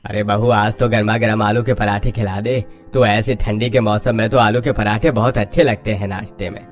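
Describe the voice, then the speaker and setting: A man's voice: on the low side, steady tone, normal speed, faint music behind, natural voice. A man playing an older father-in-law in a scripted cartoon family story. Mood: eager. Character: older father-in-law, fond of home food. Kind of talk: scripted Hindi moral-story cartoon acting.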